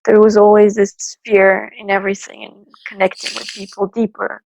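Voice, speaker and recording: A woman co-host in a casual chat, supportive and quick to laugh. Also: medium pitch, little pitch movement, gravelly, darkish tone, slight background noise, low-quality recording. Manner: giggly, supportive, laughs often.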